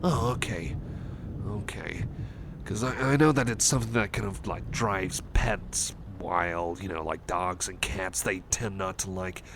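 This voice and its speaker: Timid, apologetic cyborg pal played by a male actor for ASMR roleplay: lowish pitch, halting and repetitive, over noisy storm ambience. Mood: jittery, calming down. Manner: stammering, hesitant, rambling, repeats himself. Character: anxious, timid young male cyborg buddy, gentle and apologetic. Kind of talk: ASMR roleplay, intimate chat to listener. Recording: noisy thunderstorm ambience behind voice.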